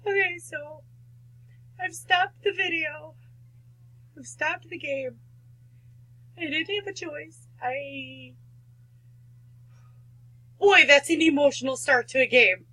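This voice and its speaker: A woman's voice: high voice, slow, pauses often, sharp tone. A woman hosting a gaming video, reading a visual novel aloud and reacting with emotional investment. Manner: emotionally invested, protests to the game. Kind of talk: read-aloud narration with personal reactions.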